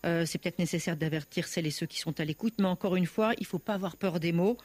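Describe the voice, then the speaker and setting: A woman hosting a radio call-in program, asking gentle, measured and probing questions of a caller. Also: lowish voice, no room sound. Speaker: radio host.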